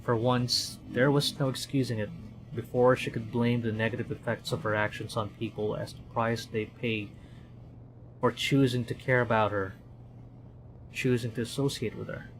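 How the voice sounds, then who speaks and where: A man's voice: medium pitch, steady tone, moderate pace, poor audio. A man from the Philippines, streaming as he narrates a visual novel dramatically and adds casual commentary.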